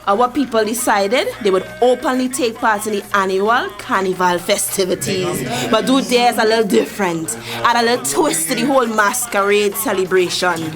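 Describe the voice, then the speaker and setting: A woman's voice: fairly high pitch, close to an everyday voice. A woman playing a proud Trinidadian matriarch. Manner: storytelling, impassioned, preaching history. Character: Mavis, older community matriarch, proud and forceful. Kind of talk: radio drama acting. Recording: music behind the voice.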